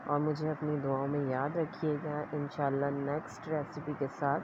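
Female home-cooking host, low pitch, explaining steps plainly, noisy lo-fi recording. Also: little pitch movement, moderate pace. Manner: explanatory, step-by-step instruction. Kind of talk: recipe voice-over narration. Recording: dull lo-fi audio with clear background noise.

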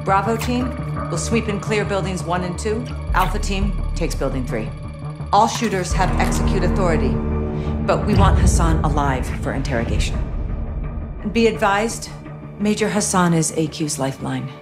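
An actress playing a serious, determined intelligence chief, measured and businesslike. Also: female voice, fairly low pitch, natural voice. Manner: measured, formal, businesslike briefing delivery. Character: composed, serious, determined female intelligence chief briefing military.

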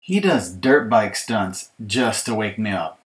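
A man's voice: on the low side, expressive, slow, heavy background noise. A man reading a children's picture book as an exasperated young girl complaining about her bratty little brother, emphatic and mock-aggrieved. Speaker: author reading his audiobook.

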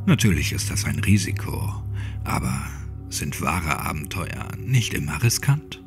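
A man narrating a scripted horror story to the listener in a dramatic, suspenseful way, sometimes dropping toward a whisper. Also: lowish voice. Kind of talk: scripted creepypasta audiobook narration, addressing the listener. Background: music under the voice.

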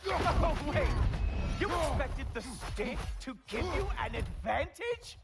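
A man's voice: extremely high pitch, sing-song, over loud music, full character voice. An actor voicing an arrogant, mocking Norse god in a video game, sarcastic and drawing out his taunts. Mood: gloating, taunting. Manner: smug, sarcastic, drawn-out taunting. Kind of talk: video game cutscene acting. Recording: loud game noise behind.

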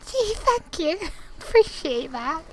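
A woman's voice: very high voice, sing-song, takes it slowly, a little hiss or hum, low-quality recording. A woman giving casual, informal gameplay commentary, chatting and thinking aloud as she plays. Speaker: gaming video creator.

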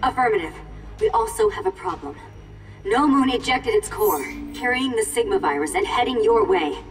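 Brisk superhero captain. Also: female voice, on the high side, big pitch swings, long reverb tail, far from the mic, soft background music. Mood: urgent, formal. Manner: crisp, formal, dutiful. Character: brisk, military female superhero captain, adult. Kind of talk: video game cutscene acting.

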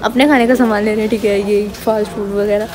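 A woman's voice: medium pitch, steady tone, fast, crowd noise. A young adult woman with a Pakistani accent chatting casually for a vlog, mixing in English phrases. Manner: code-switches with English phrases. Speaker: vlogger. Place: home, street, mall and grocery store. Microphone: phone at arm's length. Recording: noisy handheld phone vlog recording.

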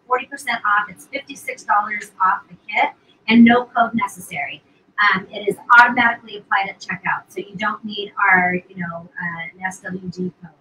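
Chatty woman in her fifties, bright voice. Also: medium pitch. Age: 50s. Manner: chatty, many fillers and restarts. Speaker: co-host of a live sales stream. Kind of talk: casual live product pitch. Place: indoor studio set at a table. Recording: distant mic with faint hiss.

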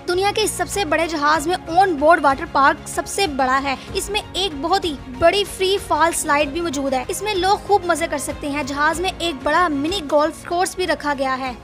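A woman's voice: very high-pitched, fairly bright tone. A woman, hosting a fact channel and reading scripted narration that addresses viewers as friends. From Pakistan. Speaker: channel host. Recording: voice-over with faint background music.

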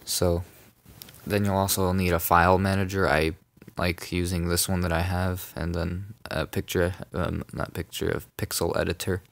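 A young man narrating a casual phone tutorial, rambling, with many ums and self-corrections, in a soft voice. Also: low-pitched, gravelly. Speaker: tutorial maker. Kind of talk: casual screen-recorded tutorial.

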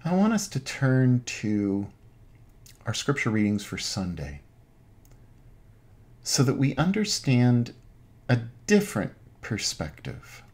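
A middle-aged man, an Anglican priest, giving an informal online sermon from his home den in measured, halting phrases. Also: medium pitch, wildly swooping pitch, a little mellow. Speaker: Anglican priest, parish pastor. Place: small home den with bookshelves.

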